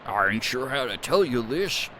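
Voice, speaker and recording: A man's voice: medium pitch, sing-song, moderate pace, bright, forceful tone, a little hiss or hum, voice pushed down. A man doing a rambling old farmer. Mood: folksy. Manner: rambling country drawl. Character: aging male farmer, homely and folksy.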